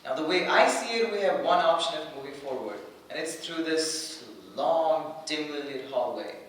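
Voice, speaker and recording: Man acting an earnest, higher-voiced peacemaker, echoey. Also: animated, moderate pace, slight background noise, close to an everyday voice. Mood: calming. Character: earnest, polite peacemaker, anxious but reasonable. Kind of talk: stage play reading. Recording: very echoey room, distant mic.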